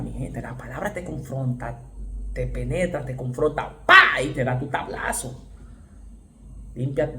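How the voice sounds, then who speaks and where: A man from the Dominican Republic with a Dominican accent, preaching a fervent sermon, repeating phrases for stress and breaking into sudden shouted exclamations. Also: mid-range voice, roomy sound, a little hiss or hum. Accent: Dominican Spanish.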